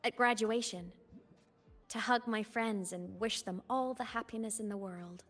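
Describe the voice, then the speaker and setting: A woman voicing a young ghost girl in a video game, a former student who speaks gently, with a wistful and teasing manner. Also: fairly high pitch, measured pace, soft background music.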